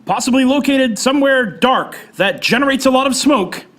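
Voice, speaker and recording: A man playing a high-pitched, theatrical radio announcer. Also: normal speed, a bit bright. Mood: grand, theatrical. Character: dramatic male announcer of an old-time detective radio serial. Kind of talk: old-time radio announcing.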